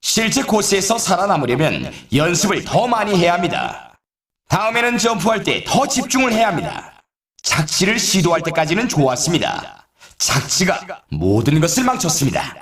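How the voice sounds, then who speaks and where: A man's voice: high voice, wildly swooping pitch, normal speed, a bit bright, recorded from a distance, exaggerated voice. A man playing a video game sports announcer and coach, speaking formally and emphatically in scripted lines. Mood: critical, coaching. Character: excitable male snowboard game announcer and trick coach.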